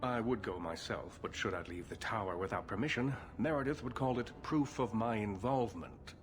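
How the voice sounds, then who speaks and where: An actor playing a grave elder male mage leader in a video game, speaking in a formal, careful, measured way. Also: fairly low pitch, faint music behind, lightly acted. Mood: worried, measured. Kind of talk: video game cutscene acting.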